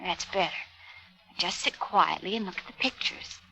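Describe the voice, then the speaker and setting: A woman's voice: lowish voice, moderate pace, creaky, over music. An American actress playing a flighty, status-minded young girlfriend in a mid-century radio drama, breezy, affected and easily exasperated. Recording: noisy old radio recording.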